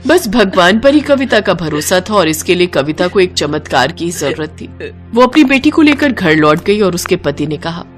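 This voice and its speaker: A woman narrating a moral cartoon story in an expressive, melodramatic way. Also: on the low side, normal speed, faint music behind.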